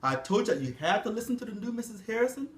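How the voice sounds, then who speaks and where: A man's voice: sits high, big pitch swings, racing, slight background noise, pitched above the performer's own voice. A man voicing an anguished plantation master who pleads and stammers, told in an echoey room. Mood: pleading.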